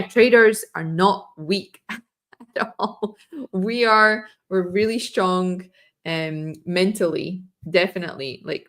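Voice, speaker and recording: A woman's voice: fairly low pitch, a bit bright. Woman host losing her voice. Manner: loose, restarting explanations. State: losing her voice, throat clearing. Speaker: trading stream host. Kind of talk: live trading stream talk.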